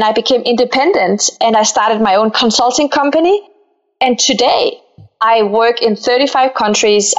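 A woman from Denmark with a Danish accent, appearing as an interview guest who speaks with warm enthusiasm. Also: medium pitch, highs cut off. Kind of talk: interview guest introducing herself.

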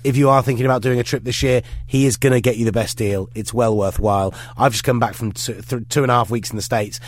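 A man's voice: medium pitch, fairly even intonation, fast talker. A man hosting a casual sports podcast, bunged up with a head cold and rambling through his points. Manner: rambling, with restarts and fillers. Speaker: podcast host.

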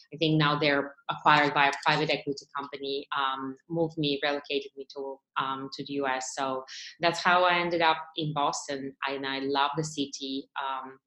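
Low-voiced woman in an echoey room. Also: a bit bright. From Bulgaria. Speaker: startup founder and CEO. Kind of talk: conversational interview answers.

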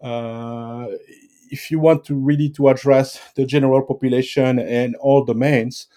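French-accented man, halting mellow voice. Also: mid-range voice, steady tone. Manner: hesitant, many fillers and restarts. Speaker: guest expert. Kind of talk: conversational interview answers.

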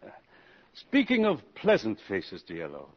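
An actor playing a genteel, wistful British ambassador in a radio drama, courtly and gently wry. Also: male voice, fairly low pitch, huge pitch swings, unhurried, exaggerated voice. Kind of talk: 1950s radio drama acting. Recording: muffled old radio broadcast recording.

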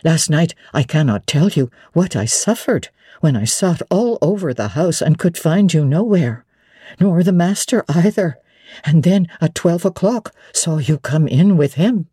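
A woman's voice: deep voice, expressive, a little slow, dark tone, husky, no echo, studio quality, heavily acted. A woman voicing an elderly widowed housekeeper who is bewildered and cautious, speaking in a hesitant, fretful way in a classic novel reading. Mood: gently cautioning. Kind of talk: dramatic audiobook reading of classic literature.

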